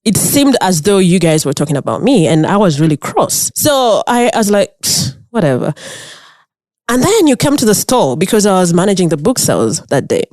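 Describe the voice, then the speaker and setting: A woman from Uganda hosting a podcast, telling a story to a friend in casual chat, animated and laughing often. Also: fairly low pitch, normal speed, no room sound. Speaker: podcast host.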